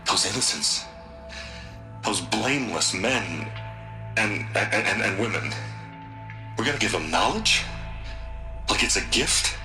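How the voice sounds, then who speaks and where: Low-voiced, unhinged tech magnate. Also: male voice, animated, music in the background. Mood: agitated, anguished. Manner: halting, stammering, turning grandiose. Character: unhinged, guilt-ridden male tech magnate. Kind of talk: dramatic video game acting.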